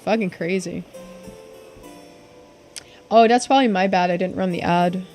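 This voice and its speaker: A woman's voice: lowish voice, measured pace, darkish tone, soft background music, poor audio. A woman game streamer chatting casually to her live audience, sweary, incredulous and sympathetic.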